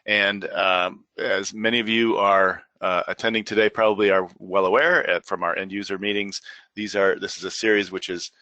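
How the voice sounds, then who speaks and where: A middle-aged man with a froggy, hoarse voice presenting a software training webinar, hesitant, with many fillers and restarts. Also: low-pitched, steady tone, moderate pace, dry recording, highs cut off. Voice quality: frequent filler words and restarts. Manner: rambling, hesitant delivery.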